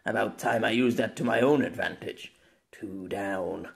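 A man's voice: on the low side, unhurried, creaky. A brash swordsman nearing sixty, voiced by a male narrator, speaks in an audiobook. He is defiant and cocky, taunting whoever stands in his way. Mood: smug.

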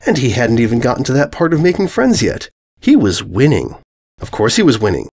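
Male narrator for scripted fiction, mid-pitched with animated intonation. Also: a little fast. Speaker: story narrator. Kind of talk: scripted dramatic fiction reading.

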